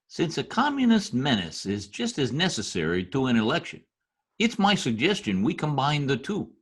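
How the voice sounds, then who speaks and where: A man's voice: mid-range voice, huge pitch swings, moderate pace, slightly rough. A man playing a deferential, persuasive political adviser who schemes for a dictator in an old-time radio comedy. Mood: deferential, insistent. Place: home room, recorded over video call.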